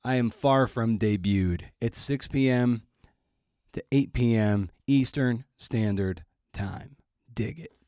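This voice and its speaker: A man's voice: medium pitch, slow, dark tone, clear, resonant tone, no echo. Dry-recorded man hosting a podcast. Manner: wry, playful, slangy, self-deprecating. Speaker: podcast host. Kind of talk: casual podcast monologue with announcements.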